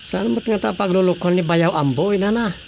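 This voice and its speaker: An inquisitive village woman with a slightly low voice and an Ilocano accent. She gossips in radio drama comedy style, with faint background noise. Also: brisk, gravelly, a little mellow. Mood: confiding.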